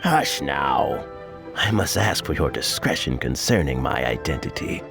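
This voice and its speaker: An actor playing a genial, wordy old official in a video game, rambling with gentle, hesitant courtesy. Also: male voice, deep voice, creaky, full character voice. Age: older.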